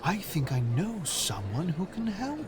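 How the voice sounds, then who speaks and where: A man's voice: sits high, huge pitch swings, measured pace, noisy background, full character voice. An actor playing an eager, polite young Englishman who talks in a rambling, enthusiastic way. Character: eager, polite young man, opera fan.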